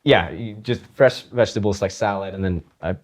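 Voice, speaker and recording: A man from Iceland, a guitarist and composer, chatting in an interview in a relaxed, rambling way with frequent laughs. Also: fairly low pitch, moderate pace. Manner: relaxed, rambling, laughs often, many fillers. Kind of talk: casual interview chat.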